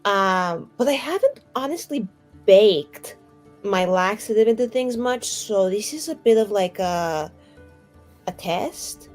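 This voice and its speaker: A woman's voice: medium pitch, lively intonation, slow, faint music behind. A woman playing an eager, naive young baker in an improvised tabletop game, chatty and hesitant. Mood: earnest, rambling. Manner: chatty, hesitant, trailing into "like, you know". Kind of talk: improvised in-character tabletop roleplay.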